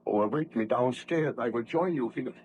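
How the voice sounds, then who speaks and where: A man's voice: mid-range voice, moderate pace, darkish tone, lo-fi sound, close to an everyday voice. Man playing an anguished, theatrical guilt-ridden baron. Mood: grim resolve. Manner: theatrical, impassioned, formal diction. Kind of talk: old-time radio drama acting. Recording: noisy vintage radio drama recording.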